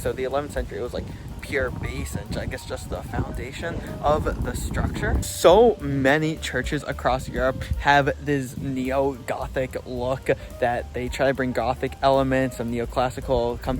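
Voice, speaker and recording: A young man chatting casually as a travel vlogger, thinking aloud with fillers as he walks through a city. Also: medium pitch. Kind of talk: casual walking travel vlog. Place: outdoors on city streets. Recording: street noise behind voice.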